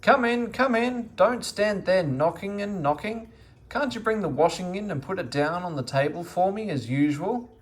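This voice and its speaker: Man as grumbling old woman, storybook character, bossy, scolding, raised pitch, swooping intonation. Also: lively intonation, moderate pace. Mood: irritable, bossy. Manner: querulous, bossy complaining. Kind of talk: children's storybook read-aloud.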